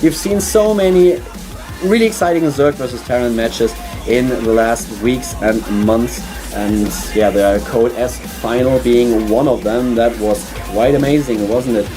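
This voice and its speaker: A man's voice: medium pitch, measured pace, over music. A man from Germany with a German accent, casting an esports stream on his own in a small studio, chatty with many fillers and restarts. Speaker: esports caster. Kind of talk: solo esports commentary.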